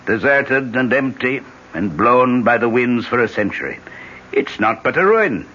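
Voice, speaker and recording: An actor playing a gruff, guarded, superstitious Irish innkeeper with an Irish accent in a 1970s radio drama. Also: male voice, mid-range voice, expressive, unhurried, pushed and bright. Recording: muffled 1970s radio recording.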